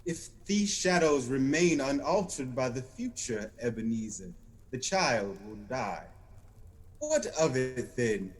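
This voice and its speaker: A man's voice: medium pitch, big pitch swings, slow pace. A man playing a grave, stern Christmas spirit in a radio-style audio play, speaking in a declamatory way. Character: solemn, stern ghostly Christmas spirit guiding a miser.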